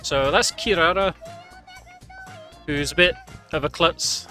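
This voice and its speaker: A man's voice: a little high, slow pace, bright, forceful tone, faint music behind. A man giving casual, chatty live commentary on a game he is speedrunning, explaining things as he goes. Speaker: speedrunner commentating his own run.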